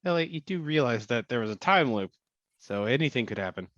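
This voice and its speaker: A man in a tabletop game session talks in character, stopping and restarting mid-phrase. His voice sits at a middling pitch. It comes through a dry, dull-sounding microphone. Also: lively intonation, a little fast, fairly bright tone, no room sound. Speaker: tabletop roleplaying player. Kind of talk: casual in-character roleplay. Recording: dry, muffled mic.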